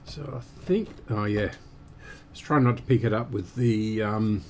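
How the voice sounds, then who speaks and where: A man giving unscripted, halting commentary as host of a repair stream, muttering to himself while he works. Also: fairly low pitch, slight background noise.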